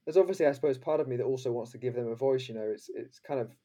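A man's voice: medium pitch, very fast, mellow. A man answering casually as an interview guest, reflective and hesitant, often correcting himself mid-thought.

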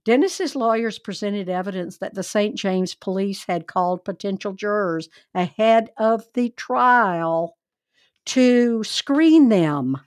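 A woman hosting a true crime podcast, telling the case in a chatty, animated, wry way. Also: mid-range voice, takes it slowly, no echo, studio quality. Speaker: podcast host.

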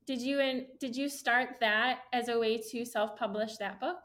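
A woman with a somewhat high voice chats with her guest, breaking off and restarting sentences. Her talk is loose and informal. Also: steady tone, normal speed, fairly bright tone. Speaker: interviewer. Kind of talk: casual interview chat.

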